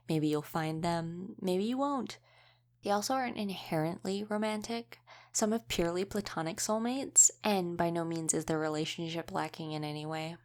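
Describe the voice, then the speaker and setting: A woman's voice: on the low side, a little mellow. A young woman playing a friendly, chatty werewolf college student in a casual audio roleplay, rambling through explanations straight to the listener.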